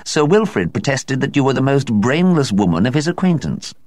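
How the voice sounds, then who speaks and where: Male reader doing a raised, slow, disdainful talking tomcat for a storybook read, dry close sound. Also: mid-range voice, sing-song, a little mellow, no echo, pitched above the performer's own voice, close to an everyday voice. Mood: cool disdain. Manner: cool, disdainful, unhurried. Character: coldly indifferent aloof talking male house cat. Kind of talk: storybook reading.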